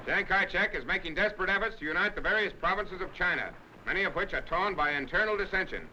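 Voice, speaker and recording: Male newsreel announcer, 1930s style, high voice, declamatory, dramatic, scratchy noisy soundtrack. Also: animated, fast. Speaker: newsreel narrator.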